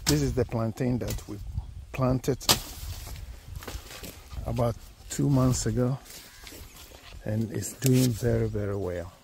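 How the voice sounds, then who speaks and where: A man's voice: mid-range voice, vocal fry, noisy background. A man from Ghana walking through his farm, plainly pointing out crops, recorded outdoors on a handheld phone. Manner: slow, plain, pointing things out. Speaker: farmer giving a farm tour. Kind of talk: casual walking tour narration. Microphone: phone held while walking.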